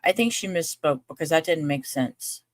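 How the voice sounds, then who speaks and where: A woman's voice: low-pitched, lively intonation, normal speed, no room sound, studio quality. A woman host giving casual, chatty reaction commentary, breaking in with her own remarks. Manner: chatty, interjecting. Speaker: host commenting on court footage.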